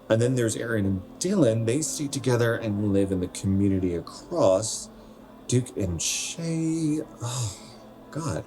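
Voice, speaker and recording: A young man playing a wistful, self-conscious 27-year-old in a naturalistic radio drama, speaking in a halting, conversational way. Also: on the low side, mellow. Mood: chatty, deflecting. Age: young adult.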